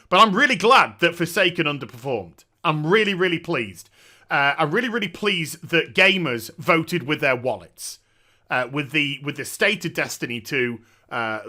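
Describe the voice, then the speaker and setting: A man's voice: a little high, bright, forceful tone. A man giving opinionated, off-the-cuff commentary in a blunt, emphatic way, often repeating words to drive them home. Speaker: gaming news commentator and streamer.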